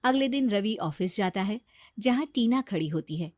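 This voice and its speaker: A woman's voice: mid-range voice, expressive, normal speed, no echo, clean studio sound. A woman delivering scripted narration for a moral cartoon story.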